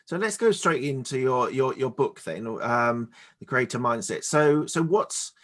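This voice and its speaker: A man's voice: medium pitch, lively intonation, measured pace, fairly bright tone. A man speaking as a podcast host and interviewer, sometimes repeating words as he forms his questions.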